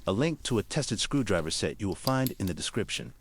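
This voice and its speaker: Low-pitched man narrating a careful repair tutorial. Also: animated, a little fast, slight background noise. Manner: careful, step-by-step instructing. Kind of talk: scripted instructional narration.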